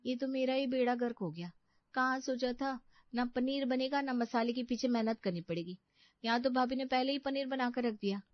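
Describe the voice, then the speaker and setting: A woman's voice: high voice, normal speed, dry recording, dull audio, natural voice. A woman voicing a jealous, scheming young sister-in-law in a dramatized moral story, sugary on the surface. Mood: resentful grumbling.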